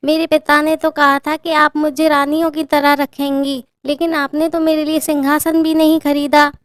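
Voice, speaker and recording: A woman's voice: sits high, little pitch movement, moderate pace, dry recording, clean studio sound, pitched above the performer's own voice, natural voice. A woman voicing a spoiled young bride who acts like a haughty queen, talking in a whiny, demanding way.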